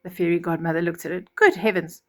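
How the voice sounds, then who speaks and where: A woman's voice: fairly low pitch, quick pace. A woman storyteller reading a children's fairy tale expressively, with chatty asides and acted-out reactions. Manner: animated, acts out reactions.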